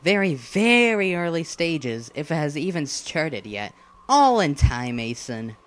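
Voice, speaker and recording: A man playing a flamboyant, boastful, playful villain leader in an animated fantasy drama, theatrical and self-assured. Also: fairly high pitch, unhurried. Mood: secretive confident. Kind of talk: scripted animated drama dialogue. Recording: background music under the voice.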